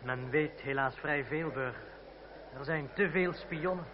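An actor playing a grateful, worried revolutionary citizen in a radio drama, speaking formally, solemnly and politely. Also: male voice, a little high, measured pace, over a noisy crowd, natural voice. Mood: grave, courteous. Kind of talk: old Dutch radio drama acting, formal and measured. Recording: dull, noisy old radio play recording.

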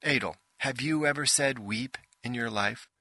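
A man's voice: medium pitch, moderate pace, sharp tone, dry recording. A man voicing an imperious, exacting female ship intelligence who directs a play in an audiobook. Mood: imperious, corrective.

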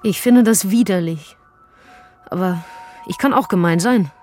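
A woman's voice: lowish voice, measured pace, a little mellow, faint music behind, natural voice. Plain-spoken, needy woman played by an actress. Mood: hurt, threatening. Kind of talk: German radio crime drama acting.

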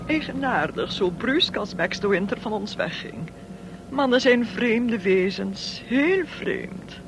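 An actress playing an overbearing, gossipy, wealthy society lady in a radio play, condescending and theatrical, on a noisy old recording. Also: on the high side. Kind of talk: radio drama acting.

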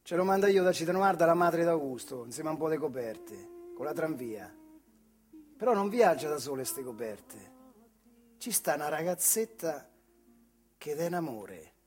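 A high-pitched male stage storyteller with a Marche accent performs a narrative monologue in dialect. His delivery is colloquial and animated, with faint music behind him. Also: normal speed. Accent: central Italian, Marche.

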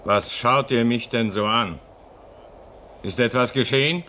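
A man's voice: medium pitch, measured pace, pushed and bright, a little hiss or hum. An actor in a German radio play as a homesick, restless man at a polar station, brooding and theatrical, swinging between muttering and outcry. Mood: agitated.

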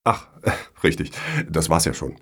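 An actor playing a genial, self-satisfied foundation board member in a satirical radio play, with pompous, flowery phrasing. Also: male voice, extremely low pitch, lively intonation, unhurried, fairly bright tone, husky, heavily acted.

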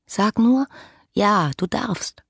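An actress playing a headstrong, lovestruck young woman in a radio play, voicing her letters with breathy, ardent intimacy. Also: mid-range voice, drawn-out words, exaggerated voice. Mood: yearning. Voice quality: husky, breathy.

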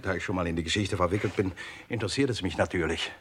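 An actor playing a polite, inquisitive gentleman witness in a German radio crime drama, with a husky voice and a thoughtful, courteous manner. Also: sits low, racing. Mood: hesitant, polite.

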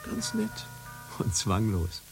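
An actor playing a smooth official who soothingly sells a happiness treatment like a salesman. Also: fairly low pitch, huge pitch swings, slow, music in the background, full character voice, low-quality recording. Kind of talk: radio play acting.

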